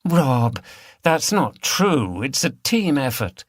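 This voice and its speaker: A man's voice: medium pitch, sing-song, takes it slowly, no echo, clean studio sound, pitched above the performer's own voice. A man voicing an anxious, apologetic woman who speaks earnestly in an audiobook story. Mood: gently reproachful.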